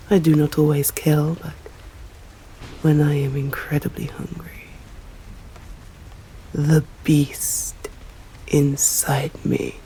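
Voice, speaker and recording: A proud, hungry female vampire, played by a woman speaks with an English accent at a slow, deliberate pace. Her voice is dark and soft, formal and often whispered, in an intimate ASMR roleplay with faint background noise. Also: deep voice, rough recording. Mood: guarded, probing. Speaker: voice actor. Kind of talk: ASMR roleplay, scripted dramatic monologue.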